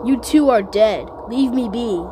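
Woman as a brooding, haunted villain, voice lowered. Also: measured pace, background noise, natural voice. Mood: haunted, remorseful. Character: despairing, haunted male villain Mister P. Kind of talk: fan-dubbed game cutscene. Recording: loud music over the voice.

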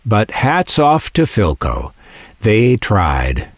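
An American man narrating a scripted documentary-style video as its host, with a wry, opinionated, measured manner. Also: on the low side, wildly swooping pitch, dry recording, clean studio sound. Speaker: host of a vintage radio collecting channel.

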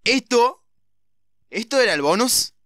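A young man with a Latin American Spanish accent giving casual, excitable, repetitive let's play commentary as a gaming host. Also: very high-pitched, unhurried. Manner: excitable, repetitive, mild swearing. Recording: loud game music behind voice. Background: video game music and sounds.

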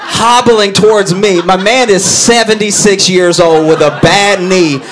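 A young man telling a stand-up story on a club stage into a handheld mic, animated and laughing as he acts out characters. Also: measured pace, a little hiss or hum. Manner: animated, laughing at his own story, acting out characters in low voices. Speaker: stand-up comedian. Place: brick-walled comedy club stage.

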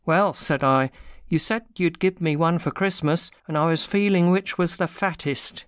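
Man acting a frightened, confessing thief, clear and high. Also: normal speed, dry recording, clean studio sound, close to an everyday voice. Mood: anxious recounting. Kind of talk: dramatic audiobook reading.

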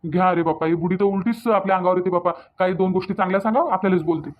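A man's voice: high-pitched, fairly even intonation, very fast, phone-quality sound, lightly acted. A man voicing an exasperated, worried grown son in a cartoon, folksy and fretful, with a Varhadi Marathi accent. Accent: Varhadi, Vidarbha Marathi. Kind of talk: cartoon dialogue.

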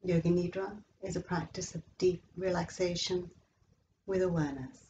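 A woman leading a guided yoga relaxation meditation in a slow, measured, soothing way with long pauses. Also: on the low side, fairly even intonation, mellow, muffled recording, a little hiss or hum. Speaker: yoga nidra instructor.

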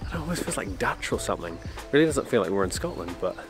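A man in his thirties vlogging on a trip, chatty and excitable, with bursts of loud enthusiasm. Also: lowish voice, fast, over music. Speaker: travel vlogger. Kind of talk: casual travel vlog chat. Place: outdoors on a windy island and village streets. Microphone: camera mic, handheld selfie framing.

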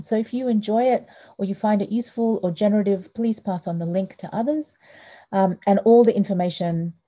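A middle-aged woman from Australia hosting an online talk over a headset on a video call, measured and warm with frequent ums. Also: medium pitch. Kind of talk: welcoming introduction on a video call. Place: small wood-panelled room indoors.

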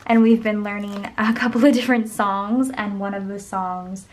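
A young woman with a voice going hoarse chats casually while she decorates her planner at a desk at home. She rambles and counts under her breath as she works. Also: medium pitch, little pitch movement, moderate pace. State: losing her voice. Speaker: planner vlogger. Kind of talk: casual plan-with-me chat. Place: home desk, camera overhead.

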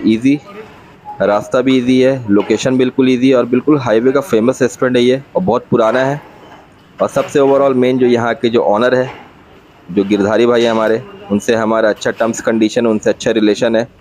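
A chatty man in his thirties from India narrates a family travel vlog on a phone camera. He keeps repeating his points and mixes English words into his Hindi. His voice sits in a middle pitch, and faint outdoor noise from a roadside restaurant runs behind him. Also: a little mellow, rough recording.

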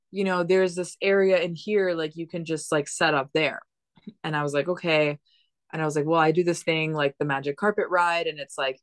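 A woman's voice: low voice, no echo. A woman in her thirties tells her story in a loose, chatty way, stopping and restarting her phrases. She was raised in the Dominican Republic and speaks from her living room over a laptop webcam. The sound is dry and close. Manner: chatty, lots of "like" and restarts, animated hand gestures. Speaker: podcast guest. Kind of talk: casual storytelling conversation. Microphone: laptop webcam on video call.

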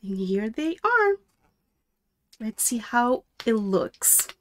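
A woman storyteller reads aloud for young children in a high, very animated voice. She is deliberate and sing-song, with exaggerated intonation and an unhurried, slow delivery. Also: slight background noise. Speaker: storyteller reading a picture book aloud to children.

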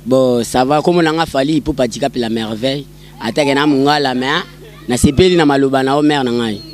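A man's voice: medium pitch, brisk. A Congolese man speaking proudly and emphatically about his country's team into a handheld mic outdoors. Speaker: interviewee, football fan. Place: outdoors on a city street. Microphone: reporter's handheld mic.

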